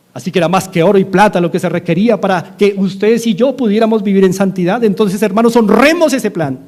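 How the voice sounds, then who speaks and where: High-voiced Colombian preacher. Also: male voice, darkish tone. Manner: earnest, repetitive exhortation. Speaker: pastor. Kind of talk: sermon. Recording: studio-like radio sermon.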